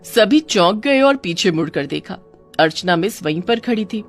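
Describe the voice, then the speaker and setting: A woman's voice: mid-range voice, animated, moderate pace, soft background music. A woman reading scripted narration for a children's moral story.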